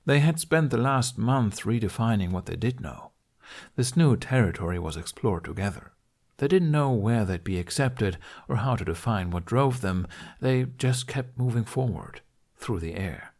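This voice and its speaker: A man's voice: on the low side, animated, moderate pace, darkish tone. A man narrating scripted fiction as an audiobook reader, telling the story in prose.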